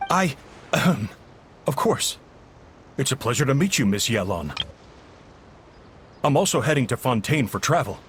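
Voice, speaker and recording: An actor playing a flustered, self-important male shipowner in a video game, halting and stiffly polite. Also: medium pitch, big pitch swings, a little hiss or hum, natural voice. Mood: flustered, blustering. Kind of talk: anime video game voice acting.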